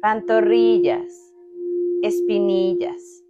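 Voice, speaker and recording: A woman leading a guided meditation in a calm, unhurried way, speaking in short phrases with long pauses. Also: medium pitch, muffled recording, very noisy. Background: meditation music with sustained tones.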